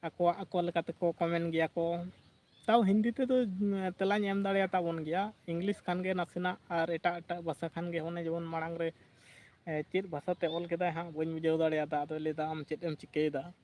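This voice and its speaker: A man's voice: a little high, little pitch movement, normal speed, a little mellow. A man hosting a casual livestream, chatting, reading out viewer comments and laughing often. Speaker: livestream host.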